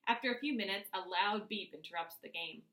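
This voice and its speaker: A woman reading a children's novel aloud as its author, with expressive first-person narration. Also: medium pitch, brisk. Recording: echoey room.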